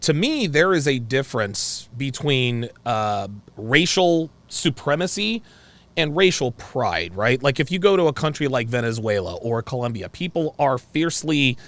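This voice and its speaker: A man's voice: mid-range voice, sing-song, normal speed, a bit bright. An American man hosting a live call-in discussion, giving his opinions emphatically and repeating phrases as he goes. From United States. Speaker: talk show host.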